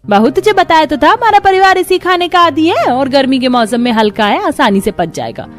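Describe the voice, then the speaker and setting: A woman's voice: high-pitched, animated, fairly quick, voice pushed up. A woman voicing a stingy middle-aged mother-in-law in a cartoon story, scolding and giving orders. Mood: insistent. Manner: scolding, commanding. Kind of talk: Hindi cartoon story acting.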